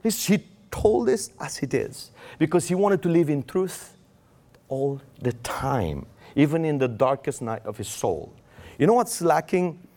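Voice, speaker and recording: A man's voice: on the high side, darkish tone. A man preaching a sermon in English with a Romanian accent, his first language Romanian, acting out quoted prayers with raised voice.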